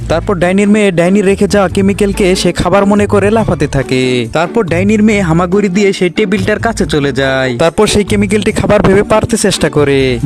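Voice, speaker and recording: High-pitched man narrating children's stories. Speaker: cartoon story narrator. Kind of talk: scripted children's story narration.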